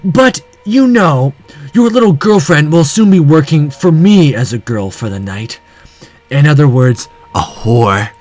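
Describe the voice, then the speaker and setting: A man voicing a callous older male yakuza villain in a visual novel, sneering and taunting with slow, drawn-out mockery. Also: a little high, expressive, a little mellow, soft background music. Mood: mocking.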